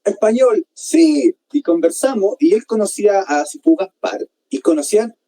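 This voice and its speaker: A man with a Chilean accent, hosting an interview and chatting in a lively, friendly way. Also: sits high, normal speed. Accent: Chilean Spanish. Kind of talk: casual interview chat.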